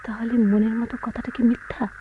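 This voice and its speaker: Woman as reproachful, high-voiced wife. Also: level tone, brisk, lightly acted. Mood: probing, hurt. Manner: emotional, accusing, dramatic. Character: hurt, suspicious young wife, reproachful and proud. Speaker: radio drama actor. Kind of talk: Bengali radio play acting. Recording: dull, noisy old radio drama recording.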